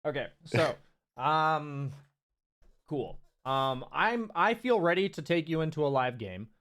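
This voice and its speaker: A man's voice: on the high side, expressive, slow, a bit bright. A man coaching a player one-on-one in a loose, chatty way, with lots of fillers and the odd swear word. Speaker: game coach. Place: streaming from home.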